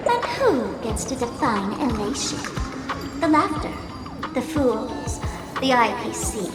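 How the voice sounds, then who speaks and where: A woman's voice: on the high side, very animated, slow, roomy sound, over loud music. A woman voice acting a playful, smug young female internet idol and trickster in a video game, speaking in a theatrical, teasing, grandiose way. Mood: musing, theatrical.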